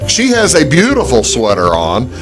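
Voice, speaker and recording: A man hosting a casual interview show, talking haltingly with many fillers and restarts, joking and laughing often. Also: medium pitch, wildly swooping pitch, music in the background, rough recording. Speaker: talk show host.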